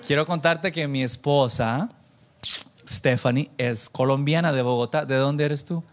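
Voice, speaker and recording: A man preaching informally to a church congregation, animated and self-deprecating, bantering with listeners and dropping in English phrases. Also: mid-range voice, a little slow. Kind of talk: informal conversational sermon with anecdotes.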